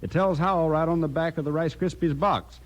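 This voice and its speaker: A man's voice: fairly high pitch, steady tone, moderate pace. A man with an American accent doing folksy, enthusiastic sponsor banter in a 1950s radio style. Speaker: radio commercial pitchman.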